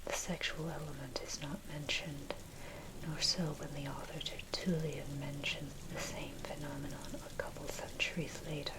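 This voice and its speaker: Whispering woman reading over loud rain. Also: deep voice, heavy background noise. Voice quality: breathy, unvoiced whisper. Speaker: narrator reading own history blog post. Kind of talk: whispered ASMR scripted narration. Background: rain and thunder sounds.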